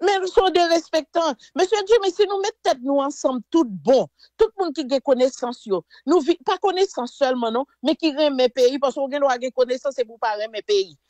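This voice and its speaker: A Haitian woman talks in a high, bright register with fervent, emphatic delivery, pressing her points hard. Her voice sounds close and dry, with no room around it. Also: expressive, fairly quick, hardly any pauses, dry recording. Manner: fervent, emphatic, mixes French with Creole and English phrases. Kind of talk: impassioned political discussion.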